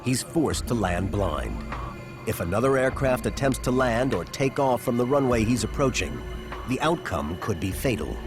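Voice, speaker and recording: A man delivers scripted documentary narration at a middle pitch with very animated intonation. He is measured and builds suspense, with music playing clearly behind him. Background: background music.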